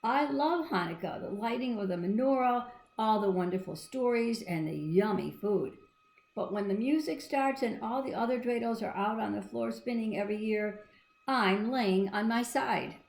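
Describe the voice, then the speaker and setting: A woman's voice: on the high side, normal speed, vocal fry, darkish tone. Wistful, persevering female toy character read by a woman, earnest storybook delivery, roomy echo. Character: wistful, persevering female toy dreidel who keeps falling. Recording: echoey room.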